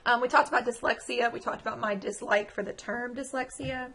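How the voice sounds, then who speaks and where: A woman in her thirties lectures in an easy, conversational way, stopping often with ums as she explains. Her voice is croaky and a little strained, sitting slightly high for a woman. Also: fairly even intonation, fairly quick, fairly bright tone. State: croaky, recovering from lost voice. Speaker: psychology lecturer. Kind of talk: recorded exam review lecture.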